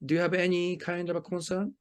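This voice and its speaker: A man's voice: high-pitched, completely flat delivery, quick pace, clear, resonant tone. A man with a Japanese accent, interviewing in a hesitant, roundabout way and feeling for his phrasing. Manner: hesitant, circling phrasing with hedged questions. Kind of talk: conversational phone interview.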